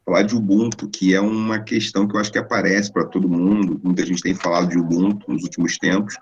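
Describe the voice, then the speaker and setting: A man in his forties with a Brazilian accent, speaking as guest in an online talk and explaining ideas in a relaxed, conversational way over a video call. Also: low voice, fast, dull audio. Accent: Brazilian Portuguese. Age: 40s. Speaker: guest philosopher. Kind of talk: online lecture.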